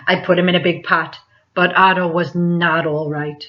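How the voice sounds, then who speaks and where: A woman's voice: on the low side, little pitch movement, normal speed. A woman reading a children's story aloud in a dramatic, emphatic storytelling manner, like a teacher to a class. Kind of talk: expressive picture-book read-aloud. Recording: dull lo-fi sound.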